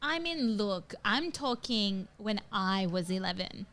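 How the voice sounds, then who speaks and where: Lively woman co-host telling stories. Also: slow. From northern Italy. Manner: animated storyteller, jumps into high acted quotes. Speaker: podcast co-host. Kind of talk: casual chat.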